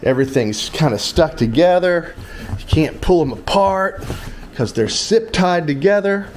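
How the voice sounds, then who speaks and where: A man's voice: on the high side, expressive, measured pace, a little mellow. A man in his fifties with an American accent, chatting casually as a vlog host in a joking, rambling, informal way. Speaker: vlogger and host. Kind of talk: casual handheld vlog chat. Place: tiled room and open-fronted home shop. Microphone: handheld action camera at arm's length. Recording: noisy handheld camera audio.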